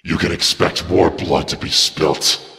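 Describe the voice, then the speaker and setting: Game-story villain: a cunning, sadistic dark double, slow, gravelly and pitched far down, doubled track. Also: male voice, fairly even intonation, echoey room, in a large hall, heavily acted. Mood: menacing. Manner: slow menacing growl. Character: sinister male shadow double, sadistic and cunning. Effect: layered pitched-down doubled voice.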